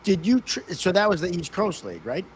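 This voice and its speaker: A man's voice: high-pitched, big pitch swings, a little fast, a crowd in the background. A man co-hosting a podcast, asking casual questions in an informal chat. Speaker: podcast co-host, hockey referee.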